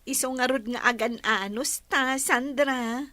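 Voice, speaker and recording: A woman's voice: high-pitched, a little slow, bright, forceful tone, dry recording. An actress playing a hardworking poor young village girl in a radio comedy drama, speaking earnestly.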